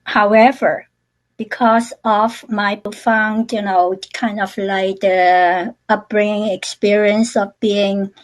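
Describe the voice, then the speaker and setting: Woman, halting testimony. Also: medium pitch, little pitch movement, rough recording. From Hong Kong. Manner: halting, many fillers and restarts. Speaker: panel speaker sharing her story. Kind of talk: personal testimony on a webinar.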